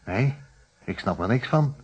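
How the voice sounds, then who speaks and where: An actor with a Netherlands Dutch accent playing a troubled, defensively candid shopkeeper in a radio play, plain-spoken and explanatory. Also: male voice, medium pitch, expressive, a little slow, husky, lo-fi sound. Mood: baffled, wary.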